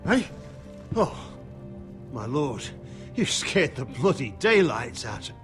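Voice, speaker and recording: An actor playing a gruff, defiant servant of a lord in a video game drama. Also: male voice, medium pitch, very animated, slow pace. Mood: startled. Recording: music clearly behind the voice.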